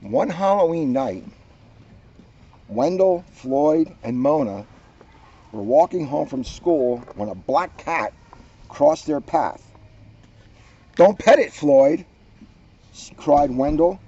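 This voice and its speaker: A man, a police officer, reading a children's book aloud with expressive, drawn-out emphasis and casual asides. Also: on the high side, breathy edge. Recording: dull, muffled lo-fi sound.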